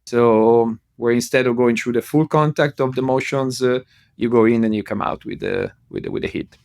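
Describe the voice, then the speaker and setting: A man from Italy with a clear Italian accent talks through a headset on a video call. His voice has a gravelly edge, and he laughs easily and fills his pauses with "uh". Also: mid-range voice, big pitch swings, dry recording, studio quality. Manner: warm, laughs often, many fillers. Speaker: podcast guest. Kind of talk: remote interview.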